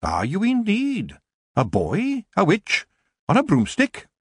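A man's voice: high-pitched, wildly swooping pitch, takes it slowly, creaky, breathy edge, no echo, clean studio sound, pitched above the performer's own voice, heavily acted. A man voicing a nosy, swooping old witch. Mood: chatty, curious. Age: older. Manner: chatty, knowing, teasing. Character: elderly nosy city witch shopkeeper, brisk and motherly. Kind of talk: audiobook character voice.

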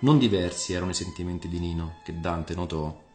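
A man narrates a literary radio story in a low voice. The delivery is scripted storytelling, set in noticeable reverberation with soft music underneath. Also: fairly quick.

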